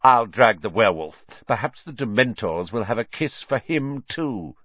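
A man's voice: mid-range voice, lively intonation, normal speed, no echo, dull audio. Man playing Snape, a sneering, vindictive wizard schoolmaster. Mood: silky malice. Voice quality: hissing, silky to spitting. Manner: menacing, sarcastic, volatile.

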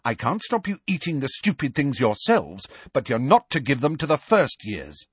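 A man voicing a bossy, righteous teenage schoolgirl who scolds forcefully in an audiobook. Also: mid-range voice, sing-song, moderate pace, breathy edge, dry recording, voice pushed up. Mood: stern, furious.

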